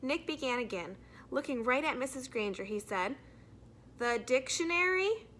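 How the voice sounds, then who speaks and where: A woman's voice: fairly high pitch, animated, moderate pace, fairly bright tone, a little hiss or hum. A woman narrating a children's storybook as a teacher reading aloud to a class.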